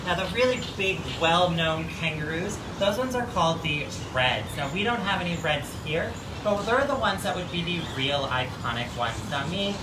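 A man's voice: a little high, lively intonation, normal speed, few pauses. A young man from the United States with an American accent, giving an informal educational animal talk as a zookeeper. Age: young adult. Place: outdoor zoo enclosure. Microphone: handheld phone a few steps away. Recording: roomy, distant, noisy outdoor phone recording.

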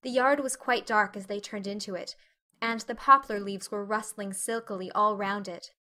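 Bright-voiced woman narrating a novel. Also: mid-range voice, steady tone, normal speed. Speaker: audiobook narrator. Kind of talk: dramatic scripted narration of a novel.

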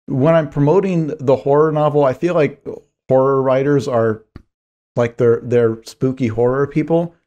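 A hoarse man in his forties, a guest author, chatting in a rambling, self-deprecating way on a video call from a small home office. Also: medium pitch, fairly even intonation, normal speed, dark tone, dull audio. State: hoarse, strained voice. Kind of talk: video call podcast chat. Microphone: headphones, desk mic on video call.

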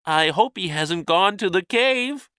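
A man's voice: high voice, lively intonation, unhurried, sharp tone, clean tone, dry recording, studio quality, voice pushed up, full character voice. A man voicing a timid, wondering poor hero in a storybook, earnest and exclamatory. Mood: worried.

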